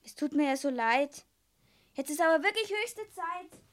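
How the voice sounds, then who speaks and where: A woman's voice: high-pitched, expressive, normal speed. A woman playing a polite, flustered schoolgirl with a Bavarian accent, earnest and apologetic, in a 1970s children's radio play. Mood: sorry, hurried.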